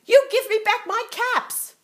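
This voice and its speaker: A woman's voice: very high voice, measured pace, voice pushed up. A grandmother voicing a male street peddler who calls out his wares and scolds in a raised voice. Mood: angry. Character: loud male cap peddler, hawking then angry.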